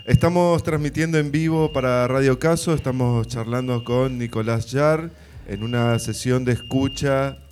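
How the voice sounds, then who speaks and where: A man hosts a live radio broadcast. His voice is of medium pitch, with faint ambience behind his announcements. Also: steady tone, moderate pace, a little mellow, a little hiss or hum. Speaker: radio host.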